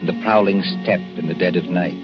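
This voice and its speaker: Low-voiced 1940s radio mystery announcer, a man. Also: fairly even intonation, fairly quick. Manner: dramatic, suspenseful. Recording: dull old 1940s radio recording with music.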